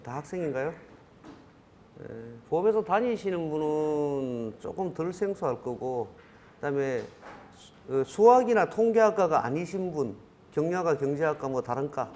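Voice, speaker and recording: A man's voice: fairly high pitch, warm tone, a little hiss or hum. Rambling middle-aged male lecturer with dialect. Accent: Korean regional dialect. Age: 50s. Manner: rambling, self-correcting, many fillers. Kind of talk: classroom lecture. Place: classroom.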